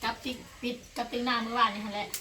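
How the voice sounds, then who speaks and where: A woman's voice: fairly high pitch, level tone, rapid-fire. A woman with a Northeastern Thai Isan accent, chatting casually to viewers as a vlogger. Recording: distant mic, clear background noise.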